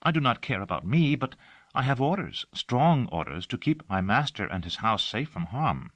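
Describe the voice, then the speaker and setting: A man voicing a calm, poised young girl who is really a turtle, speaking in a clear, warm, girlish way. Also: medium pitch, moderate pace, no echo, voice pushed up. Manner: serene, gentle, formal.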